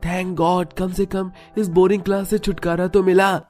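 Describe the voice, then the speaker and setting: A man's voice: sits high, little pitch movement, a little slow, a little mellow, faint music behind. A man voicing a defiant, cheeky schoolboy in a cartoon story, with an insolent manner. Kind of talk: Hindi cartoon story dialogue.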